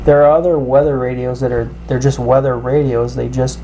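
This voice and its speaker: A man explains a product in a casual, halting way. His voice is mellow and sits at a middling pitch. There is faint noise in the indoor recording. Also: little pitch movement, highs cut off. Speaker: product reviewer. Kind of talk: casual gadget review demonstration.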